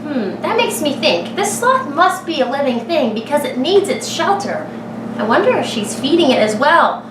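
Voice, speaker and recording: A woman with a high, very lively voice reads a story to small children in the manner of a kindergarten teacher. She paces it with dramatic pauses and thinks aloud for her listeners. The recording is roomy and echoing, captured at a distance from the mic. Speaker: kindergarten teacher reading a picture book aloud. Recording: echoey room, distant mic, clear background noise.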